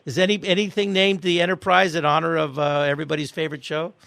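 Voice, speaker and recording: Male radio host, slightly high voice, dry studio sound, talks in halting starts and restarts. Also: flat delivery, vocal fry, a bit bright, no room sound. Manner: chatty, halting, with many restarts and fillers. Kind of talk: casual radio interview and sign-off.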